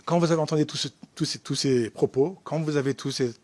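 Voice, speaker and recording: A man from Rwanda speaking as an ambassador at a hearing, answering off the cuff with emphatic repetition and halting restarts. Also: a little high, darkish tone, no echo. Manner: emphatic, halting, repeats himself. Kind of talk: spontaneous formal answers at a hearing.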